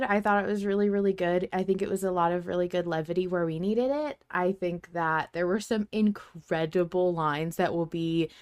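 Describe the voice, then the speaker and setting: A woman's voice: lowish voice, smooth, dry recording, clean studio sound. A woman hosting a podcast in casual chat, with an enthusiastic, playful manner. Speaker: podcast host.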